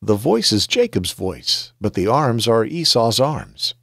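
A man reading as a gentle old blind father. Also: lowish voice, sing-song, a little slow, gravelly, dry recording, studio quality, full character voice. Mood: solemn. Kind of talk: scripture reading.

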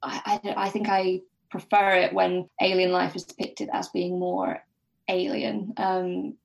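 A woman's voice: lowish voice, little pitch movement, unhurried, vocal fry, poor audio. A woman guest on a podcast discussing a book casually, thinking aloud in a hesitant way.

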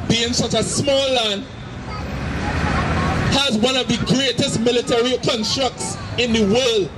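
A middle-aged man with a Trinidadian accent, preaching forcefully outdoors into a handheld mic and repeating his points. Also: very high voice, steady tone, unhurried. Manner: forceful, repetitive, shouting at times. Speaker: street preacher. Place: city street outdoors, crowd around. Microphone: handheld mic through a loudspeaker. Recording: noisy street with crowd.

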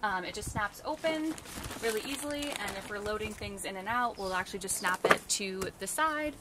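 A woman's voice: medium pitch, lively intonation, normal speed. A young woman casually showing her camper to the camera. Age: young adult. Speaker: van owner giving a tour. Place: outdoors beside a camper van in the woods. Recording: clear outdoor ambient noise.

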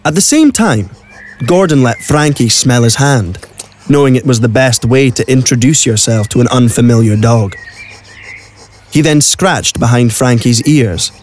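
A man's voice: medium pitch, a little slow, a little mellow, lightly acted. A man narrating a scripted audio drama as the storyteller.

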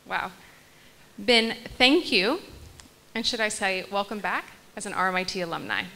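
A woman's voice: mid-range voice, expressive, moderate pace, noisy background. A young woman moderating a panel on a stage, reading guest introductions into a handheld mic and laughing off her small slips. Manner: reads bios, laughs lightly at her own slips. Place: large hall stage. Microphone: handheld microphone.

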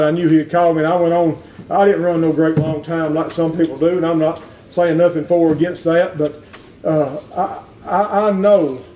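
A middle-aged man preaching a folksy revival sermon in a church, climbing into shouts. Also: high-pitched, far from the mic, a little hiss or hum. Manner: folksy, plain-spoken, rises to shouting. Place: wood-paneled church sanctuary. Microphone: far room camera. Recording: echoey church heard from afar.

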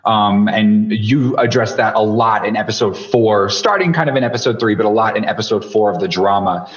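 A man's voice: lowish voice, fairly quick. A man in his thirties interviewing conversationally as a host over a video call. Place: home room with posters, indoors. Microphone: video call, headphones, boom-arm microphone. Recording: dull, echoey video call audio.

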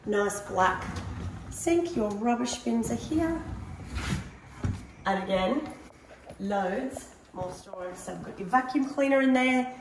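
A woman's voice: a little high, animated, slow pace, long pauses, crowd noise. A woman, walking through a house and pointing out its features in an upbeat way. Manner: upbeat, pointing things out as she walks. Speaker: prize home tour presenter. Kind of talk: walk-through house tour. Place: large empty modern house, indoors and on a deck. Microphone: handheld camera following her at a distance. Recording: echoey rooms, voice a bit far from the mic.

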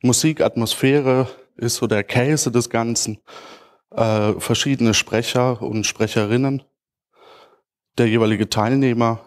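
A man speaks at a medium pitch in an informal conference presentation. He talks as a speaker explaining his own project, searching a little for his words. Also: steady tone, unhurried. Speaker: conference speaker, podcast creator. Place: large auditorium stage.

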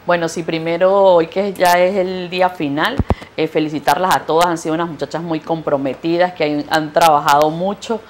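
A woman in her thirties with a Venezuelan Spanish accent, a tourism official guesting on a live TV show in a studio, speaking into a handheld mic with halting fillers. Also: on the low side, fairly even intonation, background noise. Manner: hesitant, many fillers and restarts. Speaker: president of a tourism corporation, guest. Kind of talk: live TV interview.

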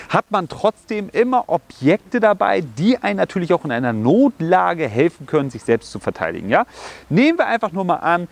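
Guy in his 30s, bit of a cold, lively self-defense teacher outside, slightly high voice, camera mic. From Germany. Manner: energetic, explains with sound words like boom and bam. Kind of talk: outdoor self-defense demonstration. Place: outdoors on a grassy park slope.

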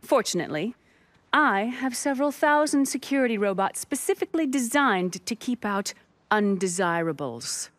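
A woman playing a confident, curt commander with a cool, cutting, deliberate delivery in a video game. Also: on the high side, lively intonation, fairly bright tone, no room sound. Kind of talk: video game cutscene acting. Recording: faint music under the voice.

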